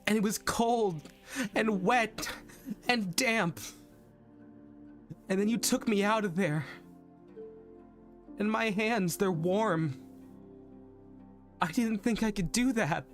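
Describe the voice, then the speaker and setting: A man performs a lovestruck undead monster in a romantic stage monologue. The voice is high for a male, earnest and halting, pleading through repeated phrases, with music playing underneath. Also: a bit bright. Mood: anguished.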